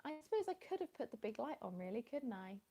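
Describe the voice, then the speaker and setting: Woman, mellow gravelly voice, rambling live stream chat at home, phone mic. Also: fairly high pitch, big pitch swings, quick pace. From England. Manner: rambling, chatty, greeting viewers by name. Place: at home in the evening, dim light. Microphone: phone with a small plug-in mic.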